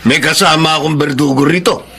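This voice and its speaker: Forceful, menacing male villain. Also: fairly high pitch, a little fast, slight background noise. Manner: forceful, threatening. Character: menacing man threatening bloody violence. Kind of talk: radio drama acting.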